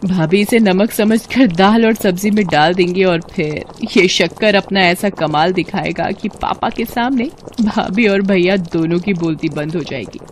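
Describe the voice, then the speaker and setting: A woman's voice: lowish voice, a little slow, few pauses, a little hiss or hum, natural voice. A woman voicing a sly young daughter in a cartoon, coaxing and conspiratorial as she schemes. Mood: plotting. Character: sly young daughter plotting against her sister-in-law.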